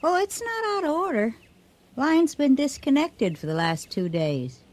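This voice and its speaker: A woman's voice: high-pitched, huge pitch swings, measured pace, a little mellow, exaggerated voice. An actress playing a folksy, hesitant female neighbour in a radio drama. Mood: curious, matter-of-fact. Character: nosy, hesitant female neighbour bearing bad news.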